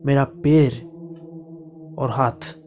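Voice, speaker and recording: Male narrator for horror stories: mid-pitched, extremely slow and halting, dramatic, sometimes hushed, over a clear music bed. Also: fairly even intonation. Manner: halting delivery in short broken phrases, sometimes hushed. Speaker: horror storyteller.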